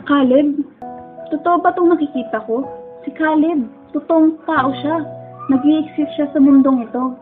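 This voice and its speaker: A woman's voice: high voice, moderate pace, natural voice. A woman playing a sensitive, lonely young female student who narrates her own story in an emotional radio drama, earnest and dramatic. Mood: stunned. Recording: muffled phone-like sound with music underneath.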